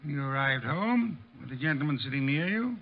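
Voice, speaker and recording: A lordly, middle-aged detective in a vintage radio play. His fairly high voice is creaky, his phrasing formal and precise, and the old broadcast sounds muffled. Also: animated, moderate pace. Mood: dry, smug. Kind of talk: 1940s-50s radio drama acting.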